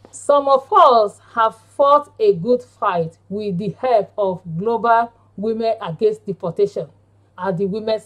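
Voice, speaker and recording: A woman reading a prepared campaign speech aloud in an earnest, deliberate way, with halting phrasing. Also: mid-range voice. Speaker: panel speaker and member of a women's campaign group.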